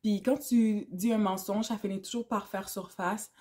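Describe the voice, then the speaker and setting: A woman's voice: mid-range voice, fairly even intonation, normal speed, warm tone. A woman in her thirties from Canada with a Québécois French accent, talking casually to camera in a vlog and mixing English words into her speech. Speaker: YouTuber.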